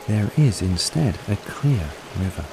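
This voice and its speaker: Low male voice, gravelly creak, calm and soothing, guided meditation narration, faint music behind. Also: a little slow, rough recording. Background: soft background music.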